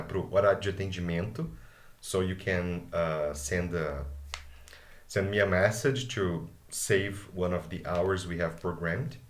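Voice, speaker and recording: A man with a Brazilian Portuguese accent, a native Portuguese speaker, teaches an English class over a video call, his voice a little low. He explains patiently and often checks that his students follow. The sound carries a roomy echo. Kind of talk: live online grammar class.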